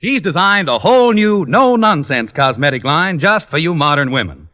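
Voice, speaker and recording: A man's voice: a little high, lively intonation, normal speed, sharp tone. A male radio announcer delivering a polished, emphatic scripted commercial in 1940s style.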